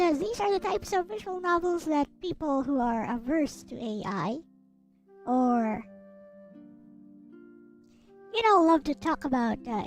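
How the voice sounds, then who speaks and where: A woman giving casual, musing livestream commentary on a visual novel, rambling as if to herself. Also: high voice. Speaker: streamer playing a visual novel. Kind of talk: casual livestream commentary with bits read aloud. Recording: clear background noise.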